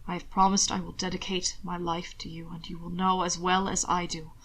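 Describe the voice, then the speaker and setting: A woman voicing a tender, formal man who speaks with solemn devotion, softly and in measured phrases. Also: lowish voice, little pitch movement, voice pushed down. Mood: devoted, hushed. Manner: soft, hushed, measured formal speech. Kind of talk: audiobook reading.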